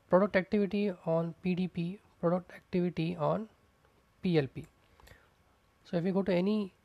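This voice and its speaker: Male tutor walking through code on a screen recording; rough, gravelly tone with a dry, close sound. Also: fairly high pitch, darkish tone, no room sound. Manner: explains step by step, repeats terms, many fillers. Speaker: programming instructor. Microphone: close mic, voice-over on screen recording.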